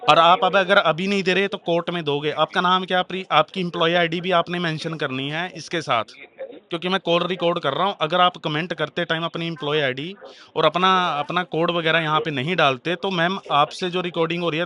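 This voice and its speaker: A man's voice: a little high, steady tone, fairly quick, pushed and bright. A man making a heated phone complaint, arguing insistently and repeating his demands.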